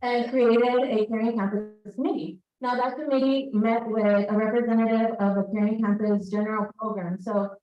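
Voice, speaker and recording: Salvadoran-born woman, higher voice, lively talk to staff, sounds far off and dull in a big hall. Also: moderate pace, few pauses. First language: Spanish. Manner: lively, engages the audience directly. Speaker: staff presenter. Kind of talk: speech to college staff. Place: large hall with an audience. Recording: echoey hall, distant mic, dull sound.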